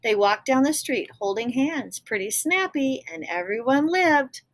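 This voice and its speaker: A woman's voice: high-pitched, lively intonation, measured pace. A woman reading a children's picture book aloud expressively, with explanatory asides to young listeners. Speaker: storybook reader.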